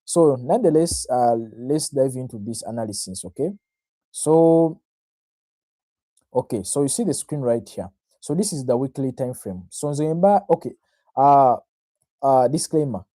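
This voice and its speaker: A man's voice: medium pitch, lively intonation, a little slow, dark tone, dry recording, studio quality. A Ugandan man, a native Luganda speaker, hosting an informal live market analysis, self-assured, talking straight to viewers and repeating phrases to drive them in. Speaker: trader, livestream host.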